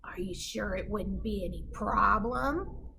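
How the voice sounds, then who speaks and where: A woman voicing a sly, starving male storybook wolf in a breathy, furtive whisper. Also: medium pitch, measured pace, pushed and bright, a little hiss or hum. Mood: tempted, sly. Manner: furtive, smooth-talking.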